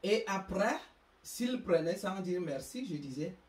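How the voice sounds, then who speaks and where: A woman's voice: lowish voice, lively intonation, darkish tone, roomy sound, far from the mic. A woman with an African French accent hosting her own channel, delivering an emphatic, moralizing monologue. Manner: slow, emphatic, preachy. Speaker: YouTube channel host.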